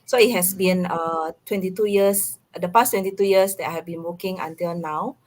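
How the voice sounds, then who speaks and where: A middle-aged woman from Indonesia with an Indonesian accent, speaking haltingly as a guest in a casual live online talk. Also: fairly low pitch, steady tone.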